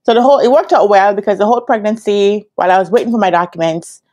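Jamaican woman in her thirties, relaxed chatty vlogger speaking into a laptop webcam in a hotel room. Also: mid-range voice, fast. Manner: chatty, laughs often, talks straight to viewers. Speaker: vlogger and business analyst coach. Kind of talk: casual storytelling vlog. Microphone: laptop webcam at desk.

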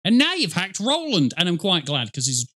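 A man's voice: high-pitched, huge pitch swings, normal speed, sharp tone. A middle-aged man giving casual gaming commentary as a reaction host, rambling, sarcastic and sweary, close on the mic in a small room.

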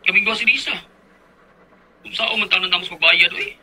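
An actor playing an uneasy, resentful live-in boyfriend in a Cebuano radio drama, with a rough husky voice. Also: male voice, high-pitched, brisk, pushed and bright, full character voice, poor audio. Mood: uneasy, apologetic.

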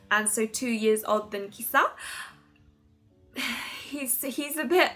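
A woman in her twenties giving a casual, chatty game review to camera in an animated, giggly manner. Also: mid-range voice. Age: 20s. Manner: animated, giggly, hand-gesturing. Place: small furnished room at home, indoors. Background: music under the voice.

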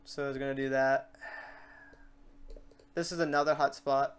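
A man hosting a casual software tutorial, informal and rambling, thinking aloud as he works. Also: mid-range voice, little pitch movement, unhurried, clear, resonant tone. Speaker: tutorial host. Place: indoors at a computer.

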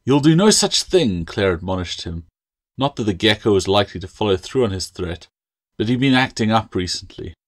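A man's voice: lowish voice, a little fast, dry recording, clean studio sound. A man reading his own fantasy novel aloud as audiobook narration.

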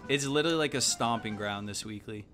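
A man, giving casual, slangy, animated live game commentary. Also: medium pitch, fast, soft background music. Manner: animated, exclaiming, thinking aloud. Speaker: game streamer. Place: indoor room at home.

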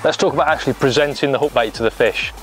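A man's voice: medium pitch, rapid-fire, fairly bright tone, faint music behind, poor audio. English man explaining fishing outdoors. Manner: practical, explanatory, step by step. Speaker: angling coach. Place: lakeside bank outdoors.

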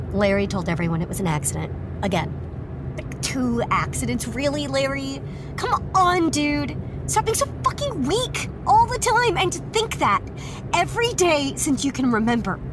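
A sullen fourteen-year-old runaway girl in an audio drama. Her voice is high, and she is blunt, sarcastic and quick to swear. Clear noise of vehicles and ambience sits behind her. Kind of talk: naturalistic scripted audio drama acting. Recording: noisy outdoor ambience with vehicle sounds.

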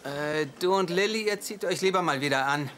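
A man's voice: on the high side, brisk, a bit bright, a little hiss or hum, lightly acted. A man doing an awkward lovesick young man. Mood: scheming. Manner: flustered, eager, rambling. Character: nervous hopeful young man, awkward romantic. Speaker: dub actor. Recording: dubbed TV audio with music and laughter.

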